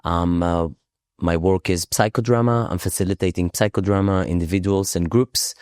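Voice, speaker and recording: A man speaks in a low, smooth, resonant voice. He talks slowly and reflectively, often pausing with fillers as he searches for words. The sound is dry and close, with no room around it. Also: fairly even intonation, darkish tone, no echo. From Israel. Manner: slow, thoughtful, hesitant with many pauses and fillers. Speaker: podcast guest, psychodrama facilitator. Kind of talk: casual interview conversation. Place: Thailand island.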